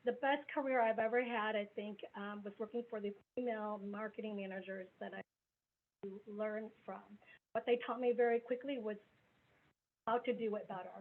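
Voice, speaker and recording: A woman's voice: medium pitch, fairly even intonation, normal speed. A woman in her forties from the American Midwest, giving a candid, conversational self-introduction as a business coach on a video call. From grew up in the US Midwest. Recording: tinny phone-like video call line with faint noise.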